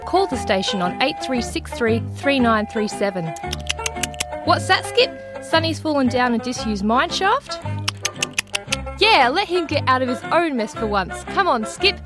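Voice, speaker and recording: A woman's voice: high-pitched, animated, unhurried. A woman voicing a comic radio station promo, playfully chatting to an animal sidekick. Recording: promo over a music bed.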